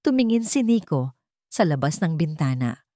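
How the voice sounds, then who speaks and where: A woman's voice: low-pitched, very animated, moderate pace, mellow, dry recording, studio quality. A woman with a Filipino accent narrating a scripted dramatic story.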